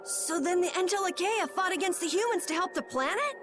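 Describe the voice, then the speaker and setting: An actress playing a blunt, excitable, hot-tempered young girl in a video game, with sharp, questioning delivery. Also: very high voice, a little fast, music in the background. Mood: startled, questioning. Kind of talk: scripted video game acting.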